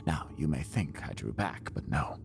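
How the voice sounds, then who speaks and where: A man playing a nervous, boastful madman confessing a murder in a theatrical Gothic horror monologue, melodramatic and swinging between hushed and shrill delivery. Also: very animated, moderate pace, vocal fry, faint music behind, heavily acted. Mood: boastful, cunning.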